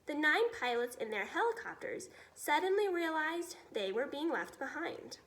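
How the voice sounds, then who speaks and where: A woman reading a children's story aloud in an expressive teacher's storytelling style. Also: high-pitched, moderate pace.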